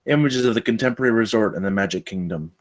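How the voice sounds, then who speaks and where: A man's voice: lowish voice, fast. A man narrating stage directions from a screenplay at a table read.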